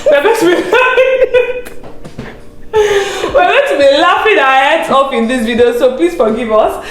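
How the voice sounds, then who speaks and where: A woman's voice: extremely high pitch, measured pace. Laughing woman in an echoey room. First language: Igbo. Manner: laughs through the telling, animated. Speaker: YouTube host. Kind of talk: casual storytelling chat.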